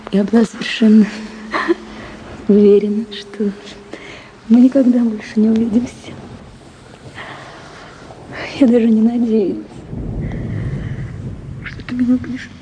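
A woman's voice: on the high side, fairly even intonation, slow pace, pauses often, mellow, lo-fi sound, a little hiss or hum. A woman acting a tender, fragile married woman suffering from love, with emotional delivery in a Russian radio drama. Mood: anguished, tender.

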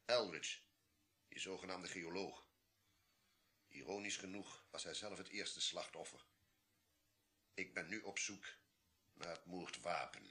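Een acteur die in een hoorspel een beleefde, gezaghebbende legerkolonel speelt die beheerst dingen uitlegt. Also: male voice, sits low, unhurried, long pauses, pushed and bright, breathy edge, exaggerated voice. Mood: grave. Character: smooth-talking, authoritative army colonel from the ministry. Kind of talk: radio play acting.

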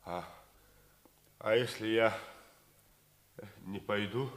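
An actor playing a defiant, steadfast captured Soviet lieutenant in a 1950s radio play, quietly resolute. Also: male voice, lowish voice, drawn-out words, echoey room. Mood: grave, hesitant.

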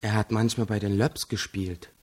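An actor playing a practical, calm young man in a radio crime drama, speaking matter-of-factly. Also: fairly low pitch, normal speed, close to an everyday voice.

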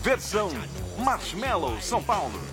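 A man's voice: high voice, huge pitch swings, measured pace, over music. A man formally announcing the title and dub version of a film.

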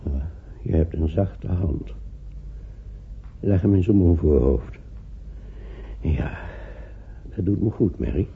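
A lonely, bedridden old man in a vintage radio drama. His husky, fairly low voice is querulous and self-pitying, swinging widely in pitch. The sound is narrow and phone-like. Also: animated, takes it slowly, pauses often, full character voice. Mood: tender, tired. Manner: plaintive, testy, dramatic swings from murmur to outburst. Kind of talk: radio play acting in Dutch.

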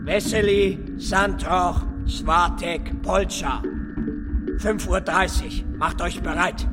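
An actor playing a brutal prison camp guard in a German radio drama, barking out commands and clipped counts. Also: male voice, high-pitched, steady tone, slow. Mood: harsh, commanding. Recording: long echoing hall, loud music behind.

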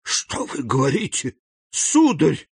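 A man voicing a terrified, trembling noblewoman who falters and pleads in hushed, breaking phrases. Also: fairly low pitch, very animated, slow pace, slightly rough, no echo, clean studio sound, exaggerated voice. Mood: frightened pleading.

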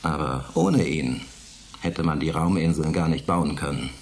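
An actor playing a sardonic, grumbling forty-year-old retired space pilot and bickering husband in a German science fiction radio play. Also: male voice, a bass voice, a little slow, a little mellow. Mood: gruff, nostalgic. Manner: mocking, grumbling, declamatory.

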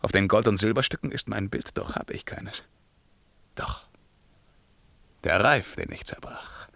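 An actor playing an anguished, imperious ruler in a verse radio drama, declaiming between whispers and loud commands, with a creaky voice. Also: male voice, lowish voice, big pitch swings, a little slow, dry recording, full character voice. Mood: brooding. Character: anguished, imperious male ruler mourning his dead beloved.

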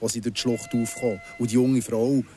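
Man to play bitter Swiss German ex-con, radio play: sardonic, deliberate, quietly menacing, music under. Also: medium pitch, flat delivery, close to an everyday voice. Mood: accusing. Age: middle-aged. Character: embittered ex-convict in his thirties, menacingly insistent. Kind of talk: radio drama acting. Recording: music behind the voice.

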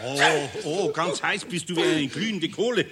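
A man's voice: a little high, moderate pace, a loud crowd, natural voice. An actor playing a kindly, flustered older craftsman with a Bavarian accent in a children's radio play, homely and often hesitant. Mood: exasperated. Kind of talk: 1970s German children's radio play acting.

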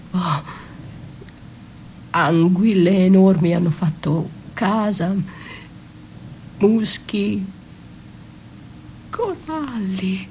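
An actress playing a battered, dying woman in a poetic radio monologue, halting and stammering, repeating words and dropping into whispers. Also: a little high, a little mellow, a little hiss or hum, heavily acted. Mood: wry, eerie. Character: battered, dying woman left in rubbish, dazed, poetic, darkly ironic. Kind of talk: theatrical monologue performed for radio.

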